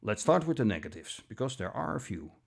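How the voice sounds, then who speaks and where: A man reading a scripted product review in a measured, deliberate manner, with short pauses between phrases. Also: mid-range voice, very animated, gravelly, faint music behind. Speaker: audio gear reviewer.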